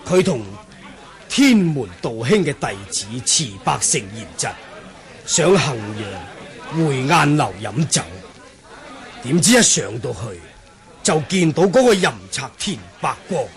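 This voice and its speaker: Diplomatic senior kung fu master, Hong Kong Cantonese accent, unhurried, very expressive pitch, formal, 1980s radio drama. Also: male voice, exaggerated voice. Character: courteous, measured senior martial arts master, male.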